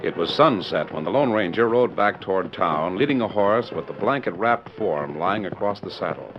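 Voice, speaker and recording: A man's voice: deep voice, lively intonation, normal speed, faint music behind. A male announcer with an American accent, giving formal, theatrical narration in the style of a 1940s radio drama. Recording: dull lo-fi 1940s radio recording.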